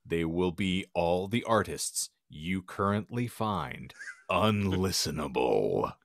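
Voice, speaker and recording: A man's voice: deep voice, lively intonation, takes it slowly, creaky, no echo, clean studio sound. A man co-hosting a casual podcast, with wordy, precise, mock-solemn phrasing. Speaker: podcast co-host. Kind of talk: casual group banter.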